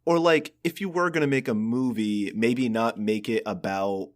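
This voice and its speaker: A man's voice: medium pitch, moderate pace. A man co-hosting a podcast in casual chat, thinking aloud as he talks. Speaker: podcast co-host.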